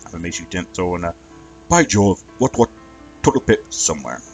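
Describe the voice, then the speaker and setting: Regal pony princess, read by a man, exacting and formal, deep voice, light music. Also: lightly acted. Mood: critical. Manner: formal, measured, critical.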